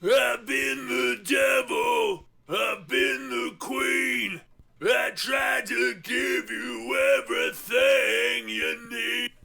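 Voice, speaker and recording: A man singing a rock vocal in a strained, half-shouted belt. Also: very high voice, steady tone, very slow, gravelly. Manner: strained, belting.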